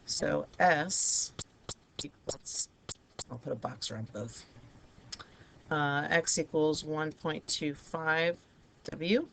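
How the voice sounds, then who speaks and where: A woman teaching a math lesson with a raspy, hoarse voice, talking students through each step of the problems. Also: deep voice, steady tone, slow pace, long pauses, fairly bright tone, slight background noise. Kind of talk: recorded math lesson over a digital whiteboard.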